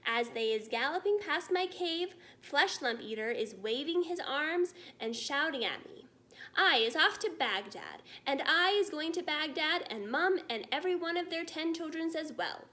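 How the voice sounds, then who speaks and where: Lady doing a jolly simple storybook giant, folksy muddled talk, high voice that swoops about. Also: expressive, moderate pace, sharp tone. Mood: pondering, eager. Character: big friendly giant, excitable, simple and jolly.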